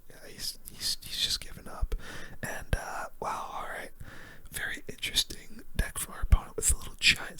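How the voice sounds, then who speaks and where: Breathy whisper, crisp and very bright, ASMR game commentary, casual host, thinking aloud, close mic. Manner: hushed, casual, thinking aloud through play. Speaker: gaming video host.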